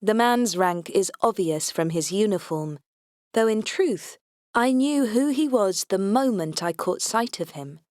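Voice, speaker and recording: Woman, audiobook narrator, wry tongue-in-cheek telling, mid pitch, dead dry sound. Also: lively intonation, measured pace, a little mellow, no room sound. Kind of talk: scripted first-person audiobook narration.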